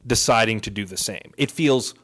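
A man's voice: fairly low pitch, moderate pace, a bit bright. A man co-hosting a podcast in casual chat, making his points emphatically and opinionatedly, often repeating phrases for weight. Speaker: podcast co-host. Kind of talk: casual chat about films and comics.

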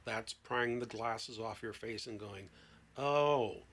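A man talking as a therapist guest in an animated, conversational way, emphatic and given to acting out imagined dialogue. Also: on the low side, takes it slowly, breathy edge.

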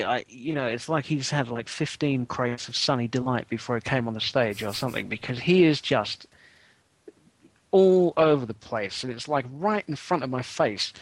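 A man chats casually on a podcast, full of fillers and wry asides. His voice sits at a middle pitch, recorded close and dry with no room sound. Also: dry recording. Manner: chatty, wry storytelling with many fillers. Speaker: podcast co-host. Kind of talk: casual chat.

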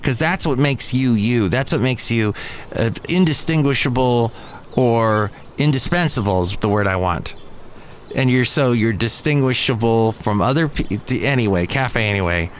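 A man's voice: mid-range voice, expressive, normal speed, slight background noise. A middle-aged man hosting a live podcast, telling digressive stories and correcting himself as he goes. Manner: digressive, self-correcting storyteller, chuckles at himself. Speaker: podcast host. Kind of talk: casual rambling monologue. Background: faint café ambience.

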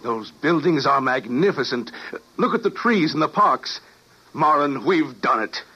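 An actor playing an urgent, authoritative male mission leader in a 1950s radio drama, brisk and intense. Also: fairly high pitch, lively intonation, bright, forceful tone, husky, full character voice. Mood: awed triumph. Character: commanding, urgent male leader of time travelers. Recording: muffled, noisy old radio recording.

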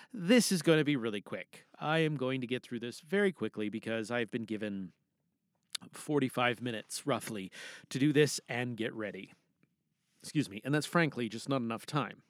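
A man from Canada hosting a podcast in a casual, chatty, animated and excitable way. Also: medium pitch, moderate pace, no room sound, studio quality. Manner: chatty, animated, self-interrupting. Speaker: podcast host and amateur voice actor.

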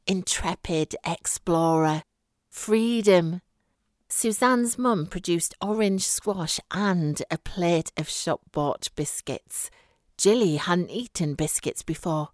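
A woman narrates a piece of short fiction from a script. Her voice is on the low side, and the recording is dry, with very little room in it. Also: dry recording. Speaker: story reader.

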